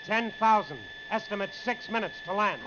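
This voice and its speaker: An actor playing a shaken, insistent air force major and test pilot in an old-time radio drama, speaking with urgency. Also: male voice, high-pitched, moderate pace, natural voice. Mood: businesslike, tense. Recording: muffled, faintly noisy old radio recording.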